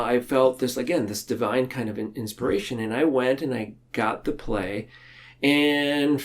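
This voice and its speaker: A man speaking casually and reflectively as a podcast guest, telling things in a halting, earnest way. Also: mid-range voice, a little mellow.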